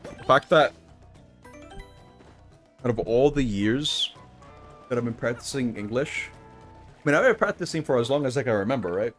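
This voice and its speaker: Relaxed man chatting while gaming. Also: medium pitch, normal speed, long pauses, soft background music. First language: Spanish. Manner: relaxed, chatty, thinking aloud. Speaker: streamer. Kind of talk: casual gaming commentary.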